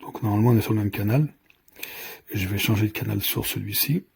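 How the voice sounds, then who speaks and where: A man giving an unscripted, step-by-step product demonstration as a reviewer, with a hesitant, halting delivery and frequent self-interruptions. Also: fairly low pitch, darkish tone. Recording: clearly noisy recording with clicks.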